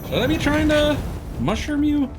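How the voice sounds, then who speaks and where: A man doing casual, playful live commentary as he streams a game, excitable and given to calling out attacks. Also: very high-pitched, moderate pace, noisy background. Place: indoors, streaming over loud game audio. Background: loud game music and effects.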